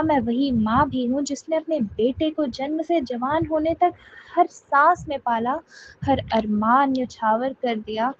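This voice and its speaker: A woman's voice: sits high, darkish tone. A woman reciting a dramatic poem in an emotional, impassioned, declamatory way. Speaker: poetry reciter. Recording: dull lo-fi sound with faint noise.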